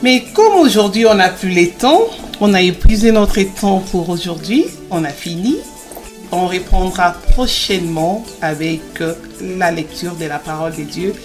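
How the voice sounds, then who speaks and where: A woman's voice: fairly low pitch, lively intonation, measured pace, faint music behind. A woman playing a devout mother in a religious children's cartoon, teaching in an encouraging way and repeating phrases for emphasis. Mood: warm, reassuring.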